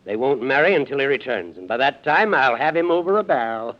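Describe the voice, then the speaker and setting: An actor playing a curt, commanding, scheming rich patriarch in a 1940s radio drama. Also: male voice, medium pitch, animated, fairly quick, natural voice. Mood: gloating. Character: domineering, scheming rich father and business tycoon. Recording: muffled vintage radio recording.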